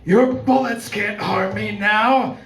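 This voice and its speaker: A performer playing a vengeful man who poses as his dead brother, accusing and shouting slowly in a live radio drama. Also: mid-range voice, soft background music. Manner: accusing, shouting, slow deliberate. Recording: roomy live room sound.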